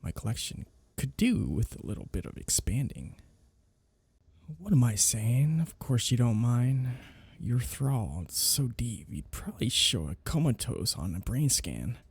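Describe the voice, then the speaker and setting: A man playing a smug, predatory male vampire in an intimate ASMR roleplay, teasing and taunting his captive with a husky, breathy delivery. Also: medium pitch, big pitch swings, measured pace, no room sound, full character voice. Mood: mocking. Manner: teasing, taunting, lingering drawl on words.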